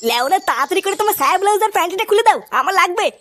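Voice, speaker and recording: A woman voicing a gullible, pushy village husband from a comic cartoon. The pitch is extremely high and jumps about as the crude, blustering words tumble out quickly. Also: animated, fairly bright tone. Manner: blustering, swearing.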